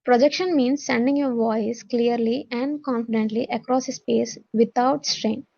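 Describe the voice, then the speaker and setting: Woman, Telugu accent, teacher, lecture style, code-switching with English, mellow tone, creaky voice, muffled recording. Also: fairly high pitch, fairly even intonation, drawn-out words. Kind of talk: classroom-style lecture, reading English notes and explaining them.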